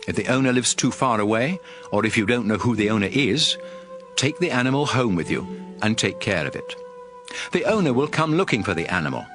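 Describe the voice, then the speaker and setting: A man reading scripture as an audio Bible narrator, in a measured, solemn, instructive way. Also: mid-range voice, a bit bright. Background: music under the voice.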